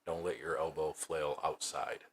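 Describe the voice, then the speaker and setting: Deep-voiced man chatting on a livestream. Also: moderate pace, slight background noise. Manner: relaxed, thinking aloud with restarts. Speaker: streamer and bowling coach. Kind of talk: casual livestream chat. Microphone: new desk microphone.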